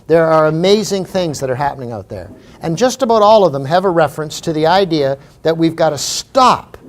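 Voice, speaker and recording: A middle-aged Canadian man giving a comic motivational talk to a live audience, telling stories in an animated way and repeating phrases for emphasis. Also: on the high side. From Maritime Canada. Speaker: humorous keynote speaker.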